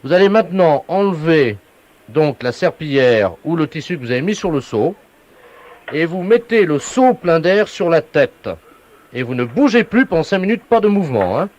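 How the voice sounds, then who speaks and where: A man playing a brisk, earnest utility official on a prank call, giving residents instructions in a businesslike deadpan. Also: on the high side. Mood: directing, official. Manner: businesslike, instructing, repeated tag questions. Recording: dull, lo-fi radio prank recording.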